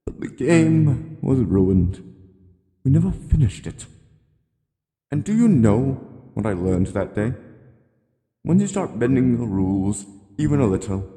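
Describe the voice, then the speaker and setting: A man voicing a genteel, rambling elderly animatronic hippo from a game, politely ominous and gently admonishing in a slow, courtly monologue. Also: mid-range voice. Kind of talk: scripted character monologue. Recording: long cavernous reverb.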